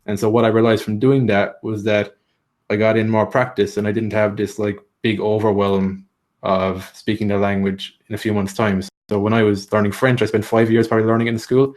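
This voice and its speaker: A man's voice: fairly low pitch, flat delivery, fairly quick, a little mellow. A man giving language-learning tips in a live training session, explaining steadily with frequent "so" and "like".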